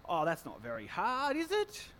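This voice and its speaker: A man with a loud voice, a pastor giving church notices and a sermon in a chatty, self-mocking way, joking with the congregation. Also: sits high, huge pitch swings, normal speed. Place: church hall. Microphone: handheld or stand microphone.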